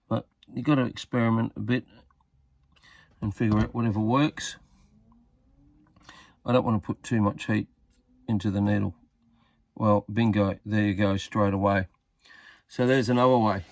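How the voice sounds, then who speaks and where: A man talks through a hands-on job in a casual, tentative way. His voice sits fairly low with plenty of vocal fry. He mutters as he works, and the sound is very dry, with hardly any room on it. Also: no echo. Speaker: hobbyist demonstrating a radio repair.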